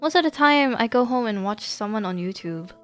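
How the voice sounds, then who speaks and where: A woman's voice: fairly high pitch, expressive, fairly quick. A woman chatting casually on a gaming livestream in a giggly, rambling way. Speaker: live streamer. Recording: music behind the voice. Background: background music.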